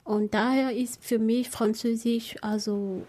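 Higher-voiced woman. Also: fairly even intonation, measured pace, warm tone. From Gabon. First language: French. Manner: hesitant, searching for words with many fillers. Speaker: panel guest, language researcher. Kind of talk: panel discussion.

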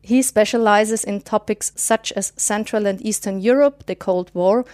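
A woman's voice: mid-range voice, moderate pace, a little mellow, dry recording. A woman hosting a podcast interview, introducing her guest politely and formally. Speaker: podcast host.

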